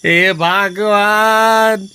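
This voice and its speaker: A man's voice: sits high, fairly even intonation, extremely slow, bright, forceful tone, clear, resonant tone, full character voice. A man voicing a greedy, cowardly village milkman in a melodramatic cartoon story, whiny and exclaiming. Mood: terrified.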